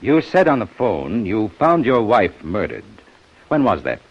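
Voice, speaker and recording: A commanding, methodical detective inspector in a vintage radio mystery. His deep voice moves through wide inflections as he probes deliberately, on a dull old recording. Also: huge pitch swings, slightly rough, exaggerated voice. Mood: businesslike. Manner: deliberate, probing. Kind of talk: 1950s radio acting.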